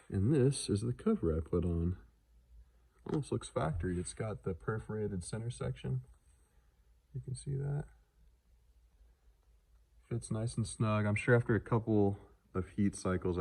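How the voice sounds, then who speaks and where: Low, mellow-voiced man, casual vlogger. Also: gravelly. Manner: laid-back, offhand, unscripted. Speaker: car vlogger showing truck modifications. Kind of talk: casual handheld vlog chat.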